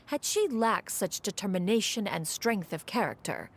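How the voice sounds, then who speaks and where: An actress playing a proud, self-assured immortal inventor in a video game, speaking in a formal, archaic manner. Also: female voice, medium pitch, very animated, normal speed, breathy edge. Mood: haughty. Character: dignified, proud immortal female adeptus inventor. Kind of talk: video game character acting.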